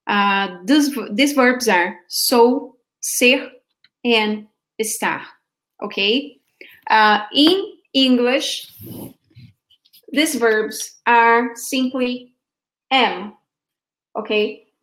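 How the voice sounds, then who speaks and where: A woman's voice: a little high, fairly bright tone, low-quality recording. A woman from Brazil with a Brazilian Portuguese accent, giving a patient sample Portuguese lesson and checking in with her students often. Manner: patient, explains slowly, checks in with "okay?". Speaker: Portuguese teacher.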